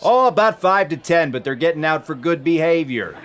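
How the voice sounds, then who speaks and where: Man playing breezy, animated bandleader. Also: on the high side, brisk, lightly acted. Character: breezy, brash, hard-drinking male bandleader. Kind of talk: old-time radio comedy acting. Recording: faint background noise.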